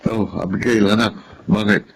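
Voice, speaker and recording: A man's voice: lowish voice, flat delivery, moderate pace, vocal fry, slightly rough, poor audio. A man acting in a radio drama as a patient, caring middle-aged Filipino father, with a Filipino accent. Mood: gentle, consoling.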